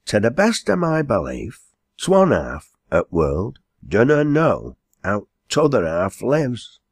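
A man's voice: lowish voice, wildly swooping pitch, slow pace, husky, no room sound, clean studio sound, pitched above the performer's own voice, exaggerated voice. A man voicing a quarryman in a storybook, dreamy and sociable, plain-spoken, with a Northern English accent. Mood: musing. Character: musing, sociable quarryman fond of his drink.

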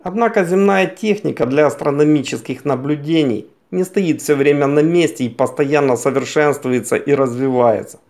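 A man reading scripted science documentary narration in a measured, formal, carefully enunciated way. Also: a little high, darkish tone. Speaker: narrator of a science news video. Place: voice-over recording.

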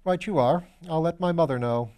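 A man's voice: a little high, moderate pace, dark tone, smooth, voice pushed up, lightly acted. A man voicing a flippant, shrewd aristocratic detective, breezy and assured, in a storybook reading. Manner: breezy, self-deprecating, assured.

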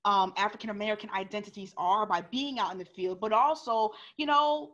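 A young woman telling a story as a panelist on a video call, animated and full of restarts, through an earphone mic at home. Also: medium pitch, fairly quick, lo-fi sound. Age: young adult. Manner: animated, gesturing, many restarts. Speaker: panelist author. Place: living room at home.

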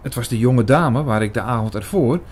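A man narrating a radio play in the first person, measured and literary in his storytelling. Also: medium pitch, a little mellow, faint music behind. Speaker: narrator and main character.

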